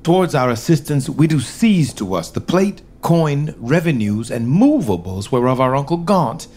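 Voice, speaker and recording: A man's voice: mid-range voice, moderate pace. An actor playing a haughty young king who mocks a dying uncle and bursts into rage, in a classical stage style. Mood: dismissive. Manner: haughty, lively verse delivery.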